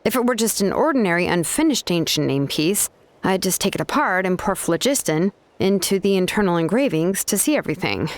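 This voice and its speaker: A woman's voice: fairly low pitch, lively intonation, a little fast, no echo. A woman playing a laid-back but exacting young craftswoman in a video game, explaining things in a casual, matter-of-fact way. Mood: patiently explanatory.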